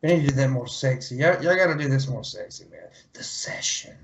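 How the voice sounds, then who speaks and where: A man's voice: medium pitch, lively intonation, a little fast, creaky, reverberant, slight background noise. A man voicing a cocky, foul-mouthed chipmunk pimp who heckles in lewd slang. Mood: lewd, bossy.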